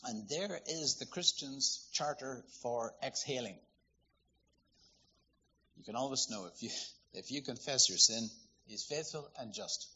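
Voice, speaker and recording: A man from Ireland, preaching a sermon in a lively, conversational, storytelling way. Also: medium pitch, unhurried, long pauses, slightly rough, highs cut off. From Northern Ireland.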